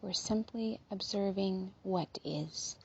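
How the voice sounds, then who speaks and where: A woman leading a guided body scan meditation in a gentle, soothing, unhurried manner, with a soft, breathy, slightly husky voice. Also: mid-range voice, muffled recording, a little hiss or hum.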